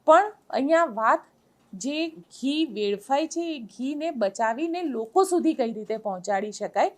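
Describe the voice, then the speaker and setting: A woman with a Gujarati accent presenting an interview topic in a measured, explanatory and emphatic way. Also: high-pitched, expressive. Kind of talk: spoken introduction to an interview.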